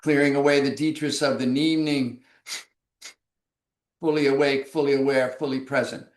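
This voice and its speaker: Man, yoga instructor, guided breathing, slow calm cues, medium pitch, roomy echo.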